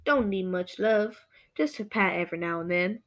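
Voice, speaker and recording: A woman's voice: medium pitch, moderate pace, natural voice. A teenage girl acting a bossy, menacing male gang boss in a student radio play. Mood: sly, casual. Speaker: student actor.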